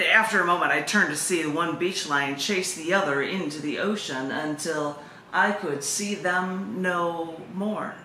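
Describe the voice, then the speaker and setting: Female poet and open mic host, bright forceful voice, mid pitch; live reading in an echoey room with faint noise. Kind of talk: poetry reading with chatty introductions.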